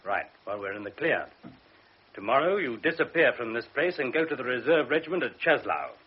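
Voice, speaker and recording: An actor playing a blustering army colonel in an old radio drama, barking orders. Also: male voice, medium pitch, animated, a little fast, slightly rough. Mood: stern. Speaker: commanding officer. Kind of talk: 1960s radio drama acting. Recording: dull lo-fi radio broadcast.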